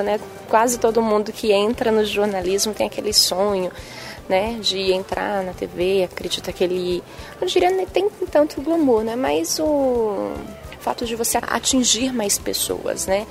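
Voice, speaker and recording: Woman, young adult, Bahian accent, sing-song lilt, tag questions, gravelly creak. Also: mid-range voice. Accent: Bahian Brazilian Portuguese. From Bahia, Brazil. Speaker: TV journalist and presenter. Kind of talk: interview answers.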